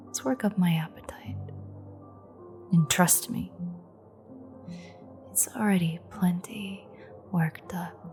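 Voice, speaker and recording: Woman acting a slow, breathy, sardonic vampire. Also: fairly low pitch, warm tone. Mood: resigned, menacing. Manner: wry, teasing, half-whispered, unhurried. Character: sardonic female vampire, threatening old enemy, secretly fond. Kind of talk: intimate audio roleplay acting.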